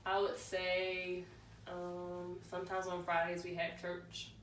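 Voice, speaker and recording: A woman in her twenties answering questions in a relaxed, casual group chat. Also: on the low side, slow pace. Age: 20s. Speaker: guest. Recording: echoey room, distant mic, noise.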